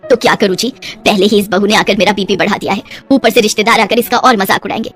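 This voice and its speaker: A woman's voice: medium pitch, steady tone, fast, a bit bright, breathy edge, faint music behind. A woman voicing an exasperated middle-aged mother-in-law in a story, scolding and lamenting dramatically.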